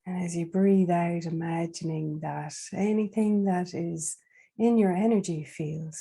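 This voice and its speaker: A woman leading a guided meditation in a soft, breathy, soothing voice, leaving long unhurried pauses. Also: on the low side, fairly even intonation.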